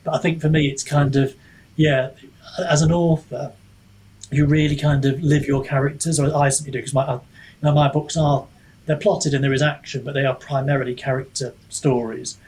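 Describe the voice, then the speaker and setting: A man's voice: mid-range voice, level tone, brisk, a little mellow, slightly rough, poor audio. A man chatting reflectively as an author being interviewed, hesitant and self-deprecating, often wandering mid-thought. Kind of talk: casual reflective podcast chat.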